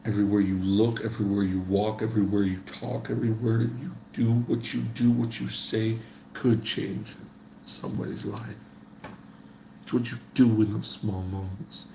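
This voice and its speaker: A man's voice: fairly low pitch, steady tone, normal speed, vocal fry, warm tone, reverberant, a little hiss or hum, rough recording. A man giving casual motivational faith talk to the camera, folksy and preachy, repeating his points. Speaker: faith vlogger.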